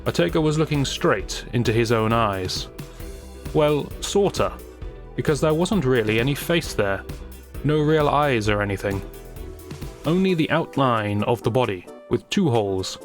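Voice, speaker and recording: A man narrating a scripted story in a wry, theatrical manner with deliberate phrasing. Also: medium pitch. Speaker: creator and narrator. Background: music.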